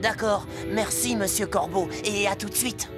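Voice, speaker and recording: A woman voicing a small, plucky young male rabbit in a French children's cartoon, excitable, cheeky and exclamatory. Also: medium pitch, normal speed, lightly acted. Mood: bored, glum. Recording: music behind.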